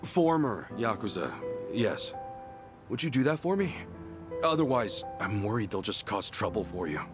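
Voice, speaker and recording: A man's voice: on the low side, big pitch swings, moderate pace, over music. A man voicing an earnest, apologetic ex-gangster hero in a dramatic video game cutscene. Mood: polite, contrite. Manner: apologetic, sincere. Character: earnest, guilt-ridden ex-yakuza hero, adult man.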